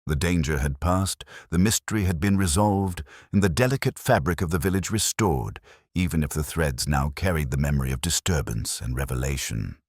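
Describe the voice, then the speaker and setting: A man narrating a literary mystery story in a measured, formal storytelling manner. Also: a bass voice, animated, no room sound, studio quality. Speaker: audiobook narrator.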